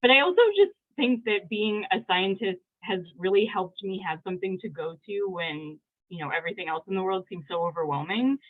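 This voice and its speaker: A woman's voice: mid-range voice, animated, fairly quick. A woman researcher talking enthusiastically about science on a video call panel, rambling with many "likes". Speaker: early career researcher guest. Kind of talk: casual panel chat. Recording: tinny phone-like call audio.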